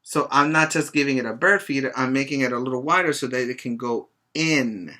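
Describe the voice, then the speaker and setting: A middle-aged man, a preschool teacher, reading and explaining to young children in a simple, expressive way with short asides. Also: mid-range voice, brisk. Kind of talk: reading a children's book aloud and chatting to young pupils. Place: small room, indoors. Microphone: webcam or laptop mic at arm's length.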